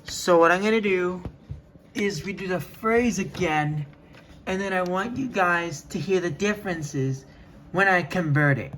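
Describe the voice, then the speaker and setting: A person giving a casual hands-on demo to viewers, talking haltingly while working. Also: medium pitch, fairly bright tone, slight background noise. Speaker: video demonstrator.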